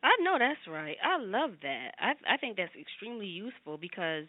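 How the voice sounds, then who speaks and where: A woman's voice: mid-range voice, big pitch swings, moderate pace, no echo. Affirming woman host on a phone line. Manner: affirming, encouraging interjections. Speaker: interviewer and host. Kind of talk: talk show interview. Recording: dry, tinny phone line.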